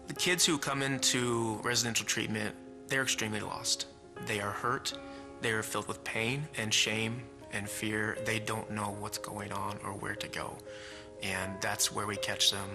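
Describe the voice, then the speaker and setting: A man speaking earnestly and softly in an interview about children in residential treatment. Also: fairly low pitch, little pitch movement, a little slow. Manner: earnest, soft-spoken. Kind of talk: interview in a promotional video. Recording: video clip with guitar music underneath.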